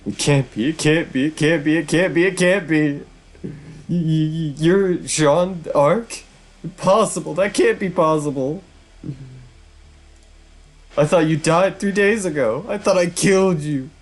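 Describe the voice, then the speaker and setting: A man voicing a cowardly bishop in a panic, stammering and pleading for his life in a shrill voice. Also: a little slow, lightly acted. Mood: disbelieving panic.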